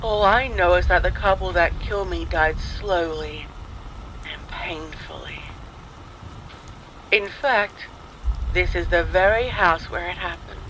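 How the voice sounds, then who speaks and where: Slow, high-pitched man narrating with bright edge. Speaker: horror story narrator. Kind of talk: scripted first-person ghost story narration. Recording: noisy, steady rain sounds behind. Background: rain and thunder ambience.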